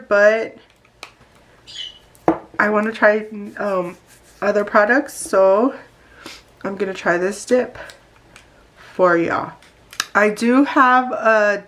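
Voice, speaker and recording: A woman's voice: mid-range voice, a bit bright. A woman with a congested, nasal voice from allergies, chatting casually to her viewers as she unboxes nail products. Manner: chatty, talks straight to viewers. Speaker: beauty vlogger.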